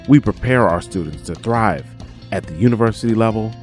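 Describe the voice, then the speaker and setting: A male announcer with a fairly low voice reads a polished script to open a podcast. Faint plucked guitar plays behind him. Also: fairly quick. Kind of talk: scripted promotional intro. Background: soft plucked guitar music.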